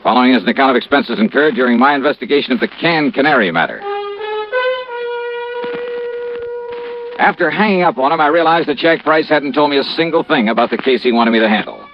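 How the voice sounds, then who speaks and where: A brash, shrewd American investigator played by a male radio actor, wry and punchy, with a very animated delivery. It is classic 1950s detective radio drama, heard through a dull old recording. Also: medium pitch, fast, few pauses, soft background music, lightly acted. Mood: brisk narrating. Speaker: lead actor and narrator of radio detective series.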